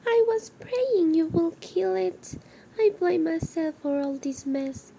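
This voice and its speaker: A woman acting a grieving, apologetic farmer and nanny in an amateur radio drama, speaking haltingly. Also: very high-pitched, animated, warm tone, heavily acted. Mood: guilty, sorrowful. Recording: muffled, with clear background noise.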